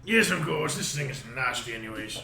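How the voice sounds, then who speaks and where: A young man playing his character in a tabletop game, talking haltingly with many fillers, over an echoey call. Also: mid-range voice, expressive, vocal fry. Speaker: player voicing his character. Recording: echoey room with background noise.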